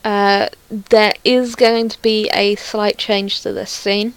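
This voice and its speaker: A woman's voice: mid-range voice, flat delivery, takes it slowly, clean tone, poor audio. A woman giving casual screen demo commentary as a creator showing her own project, rambling and thinking aloud with some self-deprecation.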